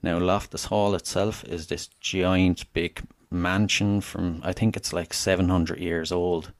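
An Irish man, a podcast guest, talking casually and telling a local legend in a relaxed way. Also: deep voice, unhurried. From Ireland (Wexford). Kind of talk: casual interview storytelling.

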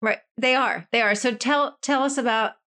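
A woman's voice: a little high, pushed and bright. A woman hosting an interview, asking follow-up questions with frequent restarts. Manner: inquisitive, halting follow-up questions. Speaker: interview host. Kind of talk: conversational interview.

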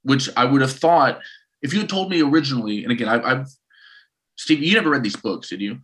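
Bright-voiced man telling rambling stories. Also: medium pitch, fairly quick, rough recording. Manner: rambling, animated storytelling with self-restarts. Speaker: podcast co-host. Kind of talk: casual podcast chat.

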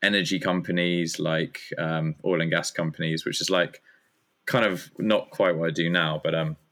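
A man in his thirties with a very deep, low voice talks about his work in a relaxed interview. He rambles loosely and fills his pauses with ums and uhs. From Germany. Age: 30s. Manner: rambling, hesitant with many fillers. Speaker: guest, community founder. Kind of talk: casual interview chat.

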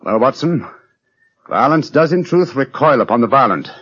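An actor in an old radio drama playing a commanding gentleman detective, British, middle-aged, with a crisp, declamatory delivery. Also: mid-range voice, big pitch swings, normal speed, full character voice. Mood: grave. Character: brilliant, commanding gentleman detective, coolly grave and theatrical. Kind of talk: 1940s radio drama acting. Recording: muffled, lo-fi old radio recording.

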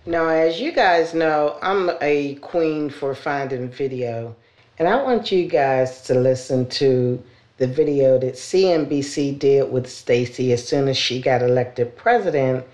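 A woman hosting her own podcast, talking in a loud, chatty way while trying to keep her voice down. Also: a bass voice, unhurried, reverberant. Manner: loud, chatty, trying to speak more softly. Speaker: podcast host. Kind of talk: casual podcast talk.

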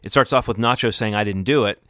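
A man's voice: lowish voice, quick pace, a bit bright, dry recording, clean studio sound. A man co-hosting a podcast, talking through a TV episode in a casual, rambling, analytical way. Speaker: podcast co-host. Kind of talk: casual TV recap discussion.

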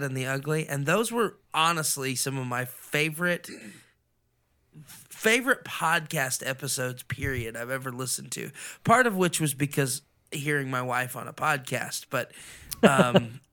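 Bright-voiced man chatting on a podcast. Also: medium pitch, unhurried. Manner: enthusiastic, rambling. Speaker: podcast co-host. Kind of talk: casual podcast chat.

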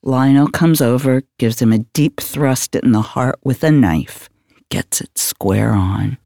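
A man's voice: medium pitch, gravelly, dark tone, no room sound, clean studio sound. A man playing a matter-of-fact homicide detective, narrating a case in a terse, hard-boiled audio drama style. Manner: deliberate, terse storytelling.

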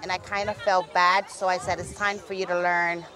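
A woman, a mother, speaking softly and conversationally in a news interview recorded outdoors. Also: fairly low pitch, level tone, normal speed, a faint crowd, poor audio.

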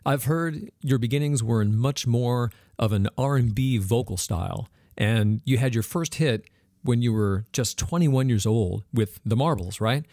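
A man interviewing a guest, asking clear, guiding questions. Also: mid-range voice, measured pace, mellow.

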